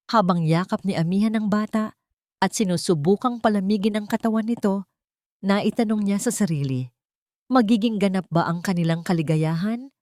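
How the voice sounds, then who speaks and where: A woman's voice: mid-range voice, darkish tone, dry recording, clean studio sound. A woman reading dramatic scripted narration as an audiobook narrator.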